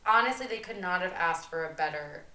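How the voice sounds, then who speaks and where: A woman's voice: lowish voice, lively intonation, fairly quick, bright, forceful tone. A young woman, co-hosting a podcast in casual chat and saying "like" often. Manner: chatty, uses "like" often. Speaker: podcast co-host. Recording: echoey room.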